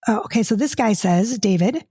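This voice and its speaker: A woman's voice: mid-range voice, expressive, normal speed, warm tone, husky, dry recording, clean studio sound. A woman, hosting a casual solo podcast. She talks in an exasperated, chatty way, stumbles over restarts and reads listener comments aloud before answering them. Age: 50s. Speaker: podcast host and dating coach.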